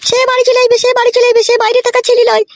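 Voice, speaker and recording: A man voicing a bossy, scolding older village mother-in-law in a comic cartoon, pitch artificially raised. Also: completely flat delivery, racing, dry recording, studio quality. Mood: insistent. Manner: nagging, coarse, bossy. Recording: clearly noisy track with clicks.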